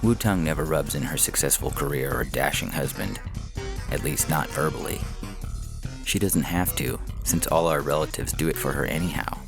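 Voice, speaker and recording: A man with an extremely low voice narrates short fiction. There is a heavy creak and gravel in his delivery, and music plays underneath as he reads. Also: moderate pace. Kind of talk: scripted story narration in second person.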